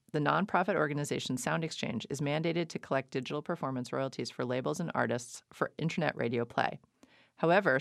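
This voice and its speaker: A woman hosting a public radio interview show, reading measured scripted links between her questions. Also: low voice, vocal fry, dry recording, clean studio sound.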